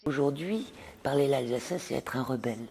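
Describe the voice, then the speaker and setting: Gravelly-voiced woman, passionate. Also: extremely low pitch, lively intonation, a little fast. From Alsace. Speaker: theatre actress. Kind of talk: interview answers.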